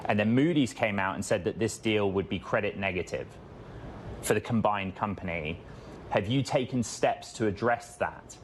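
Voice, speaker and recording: A man working as a business interviewer, asking long, measured questions that he explains and rephrases. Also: fairly low pitch, slight background noise.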